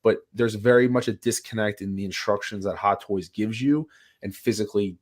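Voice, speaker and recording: A man with a lowish voice that is raspy while he gets over a sinus infection. He rambles through casual podcast talk, restarting sentences and leaning on "like" and "you know.". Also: a little fast. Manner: rambling, animated, many restarts and fillers. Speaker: podcast co-host.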